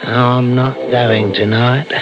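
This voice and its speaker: Man playing a slow, brooding, deranged killer. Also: medium pitch, level tone, lightly acted. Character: brooding, deranged husband and murderer, menacing and obsessive. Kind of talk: 1960s radio suspense drama acting. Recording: dull old radio broadcast, music behind.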